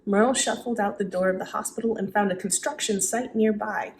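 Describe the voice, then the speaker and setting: A woman giving a dramatic storybook reading, expressive, with casual asides in her own voice. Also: medium pitch, a little fast, a little hiss or hum. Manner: expressive, acts out dialogue.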